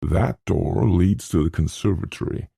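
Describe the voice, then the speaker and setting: Man reading as a very deep, genial old professor. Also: a little slow, vocal fry, dark tone, slightly rough, no room sound, studio quality, heavily acted. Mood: complacent, pleased. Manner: precise, pleased with himself, professorial. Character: genial, complacent older male scholar, pedantic host.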